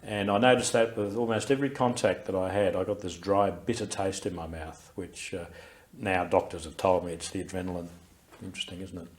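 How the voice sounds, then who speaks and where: An older Australian man with a very low, deep voice talks through his memories in an interview. He speaks in a reflective, unhurried way, often pausing on fillers and restarting his sentences. His voice is loud and carries. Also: steady tone. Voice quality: loud, carrying voice. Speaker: interviewee, war veteran. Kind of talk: recorded oral history interview.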